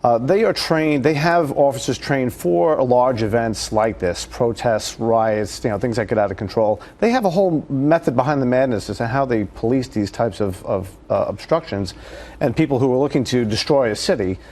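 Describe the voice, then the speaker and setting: A man's voice: medium pitch, moderate pace, darkish tone. A man giving conversational, critical commentary as a guest in a news interview. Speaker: guest commentator, retired detective.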